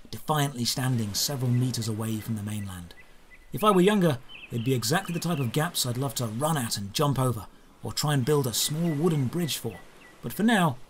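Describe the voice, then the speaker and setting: A man narrating a scripted first-person fantasy audio drama, with measured, descriptive, dramatic phrasing. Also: medium pitch, a little hiss or hum.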